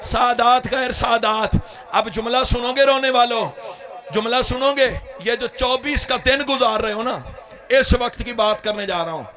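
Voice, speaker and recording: Impassioned high-pitched male mourning orator. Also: sharp tone. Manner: impassioned, wailing, crying out to mourners. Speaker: religious orator. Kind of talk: mourning sermon recitation.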